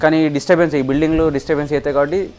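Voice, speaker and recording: A man's voice: fairly high pitch, steady tone, rapid-fire, darkish tone, faint music behind. A man with a Telangana Telugu accent chatting casually as a vlogger, explaining step by step with examples to his friends. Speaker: vlogger demonstrating a gadget.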